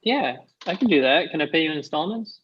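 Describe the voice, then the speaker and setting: A man's voice: fairly high pitch, expressive, fast talker, a little hiss or hum, natural voice. Guy reading a meek awkward young friend, hesitant, kinda dull lo-fi sound. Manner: hesitant, mild delivery. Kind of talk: table read of a comedy script.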